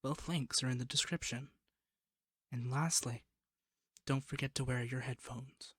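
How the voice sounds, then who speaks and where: A man's voice: mid-range voice, fairly even intonation, a little slow, slightly rough, no room sound. Dry-sounding man giving channel notes. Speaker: ASMR channel host. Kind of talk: scripted channel announcement.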